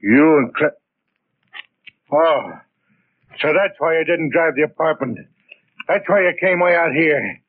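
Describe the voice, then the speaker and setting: Big dumb american thug, slangy, rough voice, muffled 1940s radio sound. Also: male voice, on the high side, measured pace, long pauses. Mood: alarmed, betrayed. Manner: slangy, ungrammatical gangster talk. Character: big dim-witted crook, sheepish, heavy-handed strangler. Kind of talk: 1940s radio crime acting.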